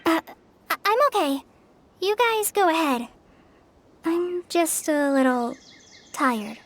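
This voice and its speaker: A woman's voice: very high voice, lively intonation, takes it slowly, slight background noise, heavily acted. A woman voicing a shy, weary young female forest ranger who stammers hesitantly. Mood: tired. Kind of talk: game voice acting.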